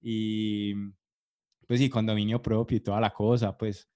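Low-voiced man, earnest. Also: level tone, a little slow, warm tone. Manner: earnest, explains plans steadily. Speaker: podcast co-host. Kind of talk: casual chat over a video call. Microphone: video call.

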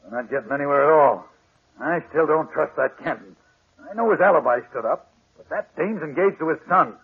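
An actor playing a gruff, skeptical police inspector with a blunt, sardonic, slangy delivery in a 1940s radio drama. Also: male voice, on the high side, expressive, brisk, full character voice. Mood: grumbling. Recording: muffled, scratchy 1940s radio recording.

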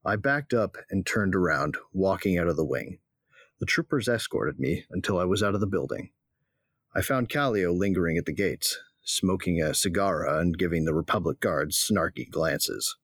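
A man voices a wry male bounty hunter narrating his own story in a sci-fi audio drama. His voice sits lowish and moves a lot in pitch, relaxed and dry-humored. The recording is dry and close. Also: moderate pace, no echo, exaggerated voice. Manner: wry, laid-back first-person narration.

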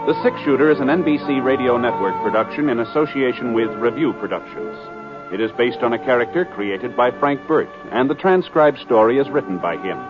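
Male American announcer, mid pitch, formal vintage radio read over prominent music, dull lo-fi sound. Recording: muffled 1950s radio recording. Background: theme music.

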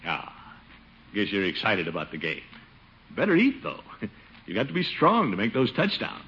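Pompous middle-aged uncle, wildly swooping pitch, blustery chuckles, radio comedy, muffled hissy vintage recording. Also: male voice, wildly swooping pitch, moderate pace, fairly bright tone, heavily acted. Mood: coaxing. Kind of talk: 1950s radio comedy acting.

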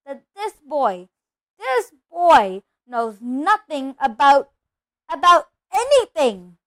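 A woman voicing a huge, gruff, fiery-tempered man in a storybook, booming and rustic in his turns of speech. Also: animated, a little slow, bright, forceful tone, heavily acted. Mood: indignant, thundering.

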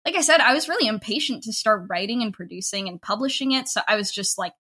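A woman's voice: a little high, lively intonation, quick pace, pushed and bright, slightly rough. Chatty young woman in casual conversation. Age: 20s. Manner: informal, chatty, heavy use of "like" as filler. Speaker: podcast creator and writer, interview guest.

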